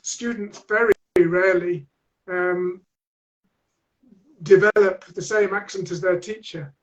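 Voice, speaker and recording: A man's voice: high voice, steady tone, slow pace, muffled recording. A man with a Manchester accent, a teacher trainer answering interview questions in a thoughtful, hesitant way with many restarts. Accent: Manchester English.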